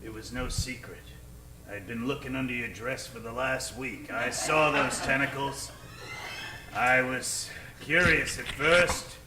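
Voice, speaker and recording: Man playing a slow, swaggering space captain. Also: mid-range voice, lightly acted. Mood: smug, flirtatious. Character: brash male space adventurer captain, swaggering and flirtatious. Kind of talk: old-time radio serial acting before a live audience. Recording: roomy stage recording with clear noise.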